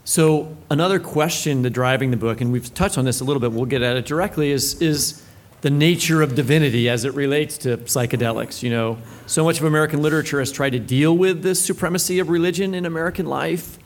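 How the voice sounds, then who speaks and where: A man with a slightly high voice co-hosts a live book interview. He talks informally, working out his questions aloud and checking for agreement. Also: fast talker. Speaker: podcast co-host interviewer. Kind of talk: conversational live literary interview.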